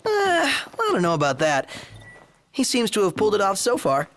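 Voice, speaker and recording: An actor playing a chirpy, teasing young sidekick in a video game, casual and playful. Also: male voice, high voice, huge pitch swings, moderate pace, a bit bright, heavily acted. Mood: light, curious. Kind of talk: video game cutscene acting.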